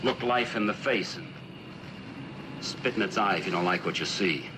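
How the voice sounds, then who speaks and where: Casting: man playing idealistic American sculptor, middle-aged, slightly low voice, plain-spoken; noisy vintage TV sound. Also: normal speed, natural voice. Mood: earnest, defiant. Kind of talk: 1960s television drama acting. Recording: noisy old TV film soundtrack.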